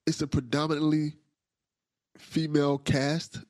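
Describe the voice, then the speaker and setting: A man's voice: a little high, unhurried, dry recording. Enthusiastic man hosting a podcast. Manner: enthusiastic, relaxed, repeats words while thinking. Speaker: podcast host. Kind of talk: casual podcast interview.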